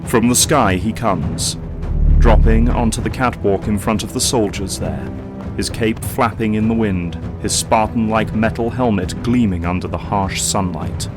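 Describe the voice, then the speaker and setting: A man's voice: on the low side, measured pace, over music. A man narrating an audio drama in a solemn, theatrical, scripted style.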